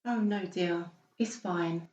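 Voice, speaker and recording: A woman's voice: on the low side, slow, a little mellow. A woman playing a small, timid, worried mother in a dramatised audiobook, meek and polite. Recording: dull, echoey, noisy room recording.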